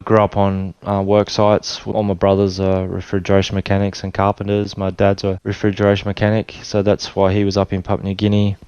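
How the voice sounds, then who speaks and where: A middle-aged Australian man telling his life story in a relaxed, rambling way with many fillers and restarts. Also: fairly low pitch, brisk, hardly any pauses, clear, resonant tone, dry recording, muffled recording. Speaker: interview guest. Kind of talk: casual storytelling interview.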